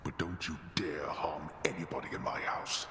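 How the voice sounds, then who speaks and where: A man playing a proud, gruff underworld god in a video game, commanding and indignant. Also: rumbling low, fairly even intonation, moderate pace, in a whisper. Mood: angry defiance. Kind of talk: dramatic video game acting. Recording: echoey hall, faint noise.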